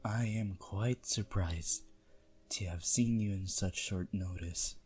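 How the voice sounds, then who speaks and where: Man as a husky, slow-talking courteous vampire. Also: low-pitched, a little mellow, faint music behind, full character voice. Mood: hesitant, courteous. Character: courteous, lonely nocturnal male vampire. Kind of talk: ASMR roleplay monologue.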